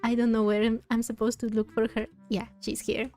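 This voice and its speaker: A woman's voice: a little high, level tone, a little fast, warm tone, faint music behind. A woman streamer giving casual gameplay commentary, musing and thinking aloud close to the microphone. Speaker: streamer playing a story game.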